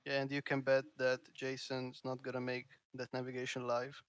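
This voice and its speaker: A man co-casting a card game match on stream, calm and matter-of-fact. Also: medium pitch, moderate pace, clear, resonant tone. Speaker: co-caster. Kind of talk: esports game commentary.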